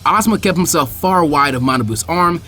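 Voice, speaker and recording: A young man reading fan fiction aloud with expressive, animated narration. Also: fairly high pitch, fairly quick, a little hiss or hum. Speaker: fanfiction reader.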